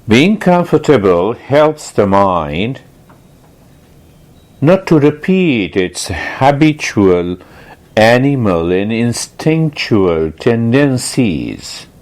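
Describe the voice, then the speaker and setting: Slow-paced man guiding a meditation. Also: medium pitch, darkish tone. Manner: deliberate, repetitive, explanatory with rhetorical questions. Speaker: meditation teacher and host. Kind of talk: guided meditation instruction.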